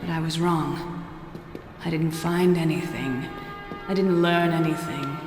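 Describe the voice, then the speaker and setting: A woman playing an earnest, reflective geneticist in a video game monologue, tender yet resolute, measured and solemn, softening as phrases end. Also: deep voice, fairly even intonation. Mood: reflective, regretful. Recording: echoing long reverb, music underneath. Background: musical score.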